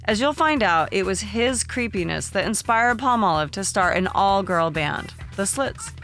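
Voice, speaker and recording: A woman's voice: mid-range voice, expressive, moderate pace, a bit bright. A woman hosting a podcast, reading her scripted narration in an upbeat, enthusiastic way. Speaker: podcast host. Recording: music bed under voice.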